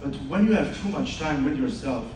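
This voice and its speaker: A man's voice: on the high side, expressive, fairly quick, a little mellow. Man in an echoing hall. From Switzerland. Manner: earnest storytelling, direct address to audience. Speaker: speaker at an event, podcast host. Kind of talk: motivational stage talk. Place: large event hall. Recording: distant echoey hall with noise.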